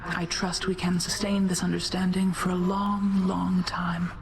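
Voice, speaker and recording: An actress playing a calm, ancient goddess of night who speaks in a measured, solemn, formal way. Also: female voice, fairly low pitch, crowd noise.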